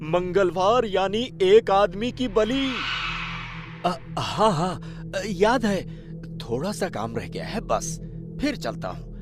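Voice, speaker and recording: A man acts as a timid village farmer in a cartoon folk tale. His voice is high and swoops expressively, in slow, hesitant, exaggerated phrases over loud music. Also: big pitch swings, exaggerated voice. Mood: concerned, tired. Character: timid, plain-spoken male village farmer. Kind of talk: cartoon story dubbing.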